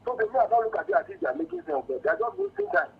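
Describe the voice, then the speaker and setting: Male Nigerian caller, very high animated voice, emphatic and aggrieved, arguing politics over a dull phone line. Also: racing, vocal fry. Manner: emphatic, aggrieved, rhetorical questioning. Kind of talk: impassioned political opinion on a radio phone-in. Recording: dull lo-fi phone call to radio.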